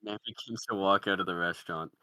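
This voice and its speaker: A man's voice: low-pitched, quick pace, sharp tone, no echo, poor audio. A man narrating the stage directions of a comedy screenplay in a scripted read.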